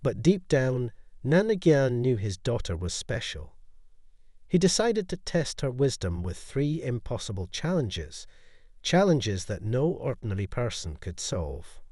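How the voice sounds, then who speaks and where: A man narrating a scripted bedtime folktale in a dramatic, expressive storytelling manner. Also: mid-range voice, measured pace, dry recording, clean studio sound.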